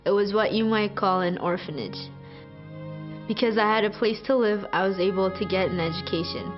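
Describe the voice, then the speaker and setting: A teenage girl from Ethiopia, a student, narrating a scripted video in an earnest, measured way. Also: mid-range voice. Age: teens. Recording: muffled, over guitar music.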